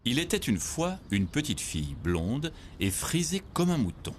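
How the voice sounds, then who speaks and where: A man narrating a scripted children's story in an expressive, theatrical way. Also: mid-range voice, normal speed, a little hiss or hum. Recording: music playing behind the voice.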